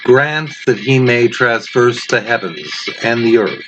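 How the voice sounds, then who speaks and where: A man reading a scripted horror story aloud in a deliberate, dramatic manner. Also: medium pitch, expressive, music in the background. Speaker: story reader. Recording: muffled lo-fi with loud background noise.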